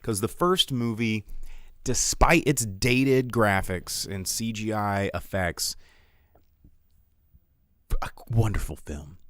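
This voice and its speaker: An American man hosting a live film talk show, chatting casually with viewers in an animated, opinionated way and repeating words for emphasis. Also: lowish voice, slow, long pauses, dry recording, studio quality. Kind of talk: casual livestream chat with viewers.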